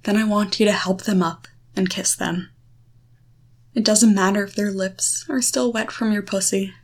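A woman guiding the listener through a visualization, coaching in short, deliberate phrases with a reassuring, instructive manner. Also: mid-range voice, steady tone. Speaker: guide leading a guided erotic confidence exercise. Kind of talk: guided visualization, second-person coaching.

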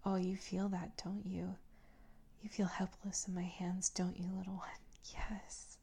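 A woman playing a domineering, cooing character in an intimate adult roleplay, mostly whispering in a breathy, coaxing, condescendingly tender manner. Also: fairly low pitch, flat delivery, a little slow, mellow, heavily acted. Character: domineering, cooing woman who soothes and commands an adult man.

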